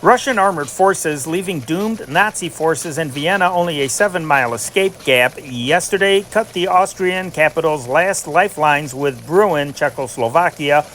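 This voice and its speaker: A man's voice: a little high, moderate pace, hardly any pauses, a bit bright, a little hiss or hum. A man reading scripted news dispatches in a formal, declarative newscast manner, punching the datelines. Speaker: narrator reading old newspaper dispatches.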